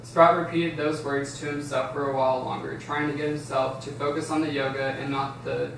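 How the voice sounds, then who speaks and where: A man's voice: medium pitch, little pitch movement, a little fast, gravelly. A man reading scripted stage directions aloud at a table read, sometimes stumbling and restarting. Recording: very echoey room, distant mic, background hiss.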